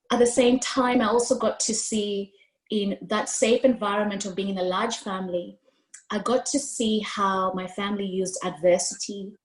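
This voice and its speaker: Kenyan woman telling her story. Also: medium pitch. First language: Swahili. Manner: expressive, gestures with hands while speaking. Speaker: guest speaker. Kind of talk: storytelling interview answer. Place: room at home, indoors. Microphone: video call. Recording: roomy video call audio.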